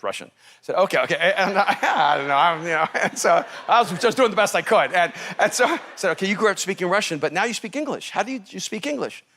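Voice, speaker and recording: A man from El Salvador, a native Spanish speaker, preaching as a pastor and telling a story in an animated, laughing manner. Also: on the high side, a little hiss or hum. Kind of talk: storytelling sermon.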